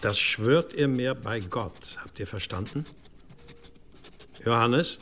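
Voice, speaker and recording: An actor playing a stern older abbot in an audio drama, speaking gravely and deliberately with commanding authority. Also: male voice, medium pitch, lively intonation, fairly bright tone, a little hiss or hum. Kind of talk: German audio drama acting.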